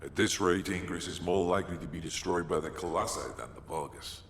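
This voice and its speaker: A man playing a grave military leader in a game, speaking solemnly and with authority in a gravelly voice. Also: sits low, a little fast, fairly bright tone. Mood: resolute. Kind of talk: scripted game dialogue. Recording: echoey large hall with faint noise.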